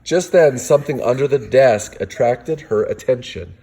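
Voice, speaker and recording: A father reading a children's storybook aloud in an animated way, with playful, chatty asides to his kids. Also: medium pitch, moderate pace.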